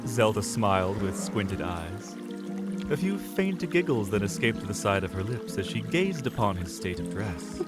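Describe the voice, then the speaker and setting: Low-voiced, animated male storyteller. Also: unhurried, loud music behind the voice. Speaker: narrator. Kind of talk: dramatic scripted story narration.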